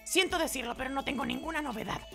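Man voicing a calm, stoic fighter woman. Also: very high-pitched, fast, creaky, over music, voice pushed up. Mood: calm, grave. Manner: calm, grave, restrained. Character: stoic, muscular young woman martial artist. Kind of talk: video game character voicing.

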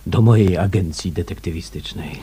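An actor playing a genial, loyal private detective in a radio drama, gallant and obliging in manner. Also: male voice, deep voice, normal speed, dark tone, husky.

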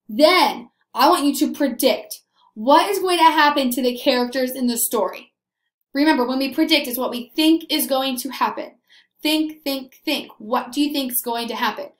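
A young woman with a high voice teaches little children in an animated, very enthusiastic way. She stretches words for emphasis and puts questions to the viewers. The sound is roomy and echoing. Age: young adult. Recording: roomy, echoing indoor recording.

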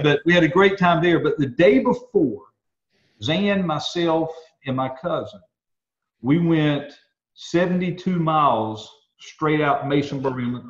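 A man co-hosting a casual chat, with a slightly high voice. He rambles through his stories with animated restarts. The recording is roomy and dull, with a lo-fi edge. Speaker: co-host.

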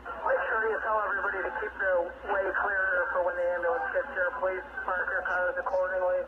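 A man's voice: high-pitched, level tone, fairly quick. A male police officer reporting from a scene over police radio. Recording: noisy, tinny police radio transmission.

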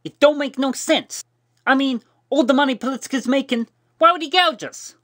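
A woman's voice: sits high, normal speed, fairly bright tone. A woman voicing an aggrieved young newsboy with slangy, questioning speech in an audio story. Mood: baffled.

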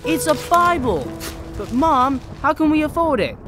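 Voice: high-pitched, sing-song, moderate pace. An actor playing an earnest, polite fifteen-year-old farm boy of the 1830s in a family audio drama. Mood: excited, grateful. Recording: music behind the voice.

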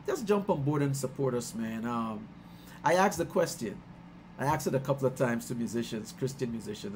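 A middle-aged man from Guyana, a musician talking casually on a video call, warm and chatty, laughing often and getting excited as he tells stories. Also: medium pitch, a little hiss or hum. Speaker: musician hosting his album release stream. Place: small room at home. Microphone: headset on a video call.

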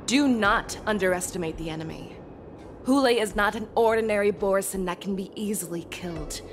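An actress voicing a calm, commanding female general who lays out strategy in a measured, lecturing way for a game cutscene. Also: mid-range voice, faint music behind, natural voice. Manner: measured, lecturing, hushed at times. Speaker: military general.

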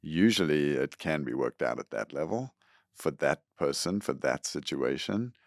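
Middle-aged male school leader, very deep, dry close-mic studio sound, earnest and measured. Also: expressive, dry recording. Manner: earnest, measured, repeats words when starting. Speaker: school superintendent, guest. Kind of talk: reflective interview answers. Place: podcast studio room. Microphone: close studio boom mic.

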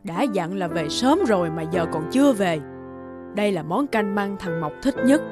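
An elderly village mother, acted by a woman, stubborn and full of feeling. She speaks dramatically, as in a cartoon folk tale, over loud music. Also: medium pitch, expressive, moderate pace, natural voice. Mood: fretful, waiting. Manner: dramatic, emotional delivery. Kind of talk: animated folk-tale dubbing.

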